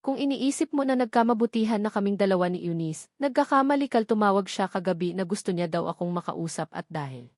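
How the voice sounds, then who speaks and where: A woman's voice: mid-range voice, lively intonation, quick pace, hardly any pauses, a little mellow, dry recording, clean studio sound. A woman voicing a serious, apologetic husband in a romance audiobook. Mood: apologetic, earnest.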